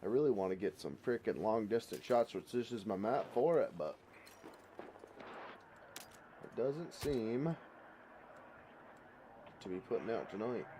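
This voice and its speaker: A man's voice: fairly low pitch, expressive, a little slow, pauses often, a little hiss or hum, rough recording. A man with a head cold chatting casually while streaming a shooter game, rambling in a folksy way and repeating his words. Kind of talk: casual gaming livestream chat. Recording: loud game gunfire behind voice. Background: gunfire and vehicles from the game.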